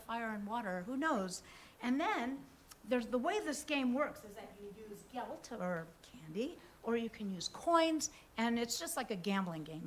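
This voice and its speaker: A woman's voice: a little high, slight background noise. An older woman on a theater stage, chatting through an introduction to a song in a musing, slightly nervous way at a stand mic. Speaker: musician and storyteller. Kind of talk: casual spoken introduction on stage. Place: theater stage with live audience.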